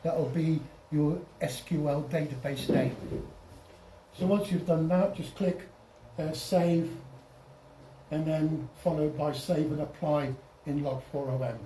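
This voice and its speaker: Mellow man presenting, distant in a roomy space. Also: a little high. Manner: halting, repeats and restarts phrases. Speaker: presenter at an amateur radio club. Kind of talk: informal software tutorial over slides. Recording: roomy, distant mic, faint noise.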